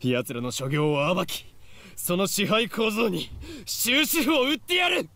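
Young mad scientist, theatrical, grandiose, highish voice, rapid delivery, visual novel game. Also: male voice, wildly swooping pitch, pushed and bright, no echo, full character voice. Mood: grandiose, conspiratorial. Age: young adult. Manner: pompous, dramatic declaiming. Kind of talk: Japanese visual novel voice acting.

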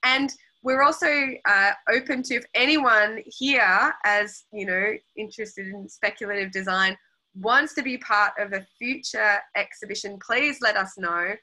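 A woman's voice: medium pitch, measured pace, pushed and bright, low-quality recording. An Australian woman curator chatting casually on a video call, hesitant, with many restarts. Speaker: exhibition curator. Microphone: laptop video call.

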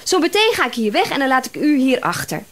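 Radio drama role: female private detective, about thirty, chatty, easily flustered, very high voice, lively swings. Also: fast. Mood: indignant. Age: young adult. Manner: chatty, apologetic, exclaiming. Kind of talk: Dutch radio drama acting.

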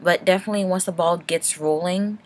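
A woman's voice: fairly low pitch, steady tone, fairly quick, a little mellow, a little hiss or hum. A young woman giving a casual, rambling channel update in a hesitant, apologetic, nervous way. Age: young adult.

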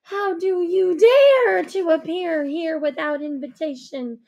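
A woman's voice: very high voice, slow, darkish tone. A woman voicing a volatile, imperious female spring spirit queen who speaks in haughty, archaic phrases. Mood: haughty, angry.

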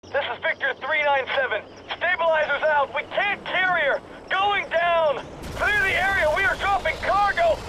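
An actor playing a panicked dropship pilot shouting urgent radio calls in a video game cutscene. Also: male voice, very high voice, normal speed, slight background noise, rough recording.

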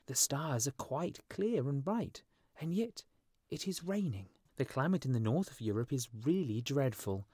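A man voicing a small, vain, chatty storybook swallow with a brisk, self-important, complaining manner. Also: medium pitch, expressive, warm tone, husky, dry recording, clean studio sound, pitched above the performer's own voice. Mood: indignant. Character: small vain chatty male swallow, fussy but kind.

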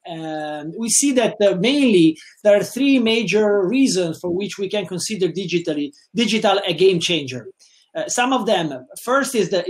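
Italian man lecturing with halting fillers. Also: high-pitched. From Italy. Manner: halting, many fillers and repeated words. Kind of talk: online webinar lecture. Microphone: webcam video call.

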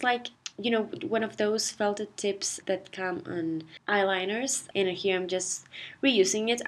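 A woman talks through a craft project in a loose, chatty voice-over, filling her sentences with easygoing asides. She is getting over a cold, so her voice sounds a touch stuffy and off. Her pitch sits in an ordinary middle range. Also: slightly rough. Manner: chatty, relaxed, lots of "like" and "you know". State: recovering from a cold. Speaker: DIY craft channel host. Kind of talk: casual voice-over tutorial. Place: voice-over, not recorded on camera. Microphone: not her usual voice-over mic.

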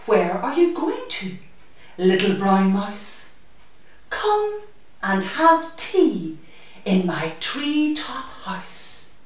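A woman's voice: medium pitch, sing-song, extremely slow. A woman voicing a fluttery, posh storybook owl with an exaggerated hooting delivery. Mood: inviting. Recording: echoey room, distant microphone.

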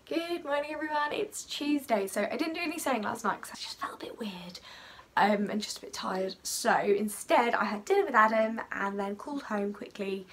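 A young woman chatting upbeat and fast in a casual sewing vlog, recorded on a camera at arm's length in a small room at home. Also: fairly high pitch, animated, a bit bright, faint music behind. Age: young adult. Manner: chatty and upbeat, quick asides. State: croaky voice. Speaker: sewing vlogger. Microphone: phone or camera at arm's length.

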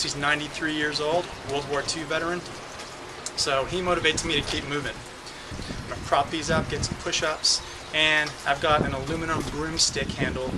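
An upbeat young man coaches a home workout to camera, his voice sitting a little high. He is recorded outdoors on a porch through a camera mic, with clear background noise of rain and passing cars. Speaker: fitness vlogger. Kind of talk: casual workout instruction. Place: covered front porch outdoors on a rainy day. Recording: noisy outdoor camera audio.

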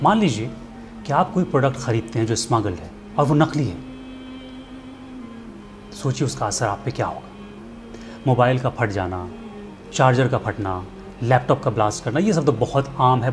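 A middle-aged man, presenting a scripted address to camera in an earnest, persuasive manner rhetorical questions. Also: medium pitch. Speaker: filmmaker and storyteller presenting a commentary segment. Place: indoor studio set. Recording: music bed underneath.

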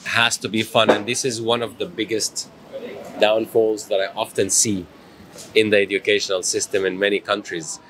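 A man's voice: on the low side, level tone, moderate pace, background noise. A middle-aged man hosting a live stream in a small studio, upbeat and motivational, thanking commenters. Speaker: host.

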